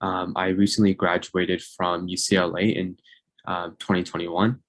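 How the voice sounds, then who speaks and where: A man's voice: sits low, flat delivery, moderate pace, no echo. A man in his twenties from Myanmar introducing himself fluently and in a friendly way on an online panel. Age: 20s. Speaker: incoming PhD student panelist.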